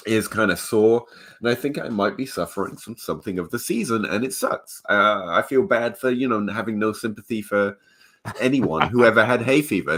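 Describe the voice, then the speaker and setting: A man with a fairly low voice hosts a podcast and lays out numbers step by step. He is measured and a bit dry, and his nose sounds slightly congested. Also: a bit bright. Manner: measured, data-driven, dry humor. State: stuffed-up nose. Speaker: fantasy football podcast host. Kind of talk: analytical podcast talk.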